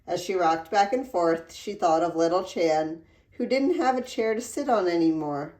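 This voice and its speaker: A woman reading a children's storybook aloud in an expressive, deliberate storytelling manner. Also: fairly low pitch. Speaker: read-aloud narrator. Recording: roomy echo.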